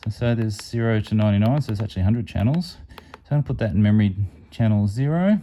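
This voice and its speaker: A man's voice: on the low side, quick pace, warm tone, a little hiss or hum. A man giving an informal, unscripted step-by-step product demonstration as a hobby reviewer.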